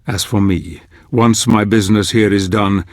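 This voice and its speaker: A man playing a grave chronicler, narrating a hero's quest in a fantasy video game with measured, theatrical solemnity. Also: lowish voice, steady tone, dry recording, clean studio sound, natural voice.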